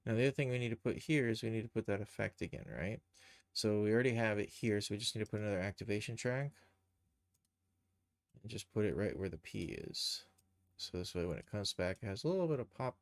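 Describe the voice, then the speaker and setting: A man giving an informal live software tutorial, thinking aloud and muttering steps to himself as he works. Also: lowish voice, fast, no echo, clean studio sound. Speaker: live streamer, game and cartoon developer.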